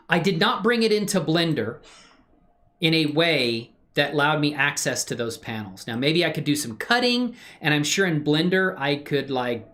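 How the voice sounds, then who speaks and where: A man's voice: fairly high pitch, a bit bright. A man hosting a casual livestream, chatting effusively with viewers and rambling through his 3D animation work in playful slang. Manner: effusive when greeting viewers, playful slang, muttering and rambling while working. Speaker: livestream host and hobby 3D animator. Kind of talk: casual livestream chat and screen-share tutorial.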